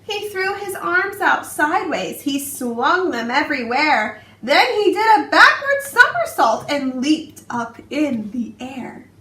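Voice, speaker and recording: A woman teacher reading a picture book aloud to young children in an expressive, drawn-out way. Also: high voice. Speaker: teacher reading to her class. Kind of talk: children's storybook read-aloud, expressive narration. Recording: roomy, distant mic, faint noise.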